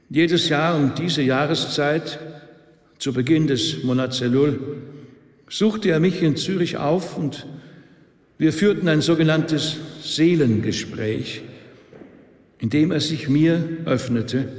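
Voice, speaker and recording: Slow, solemn rabbi in echoing hall. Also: male voice, on the high side. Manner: measured, deliberate, solemn. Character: grave, tender male rabbi giving a funeral eulogy. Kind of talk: solemn eulogy in a radio play. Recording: echoey hall with long reverb.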